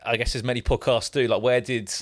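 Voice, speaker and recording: A man's voice: mid-range voice, flat delivery, fast, no echo, clean studio sound. A man co-hosting a football podcast, asking loose, easygoing questions. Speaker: podcast co-host. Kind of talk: casual podcast chat.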